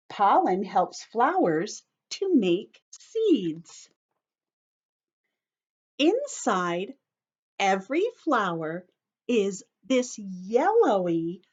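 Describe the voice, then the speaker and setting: A woman teacher reading aloud to young children and explaining as she goes, with expressive, simple phrasing, in a slightly dull recording. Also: medium pitch.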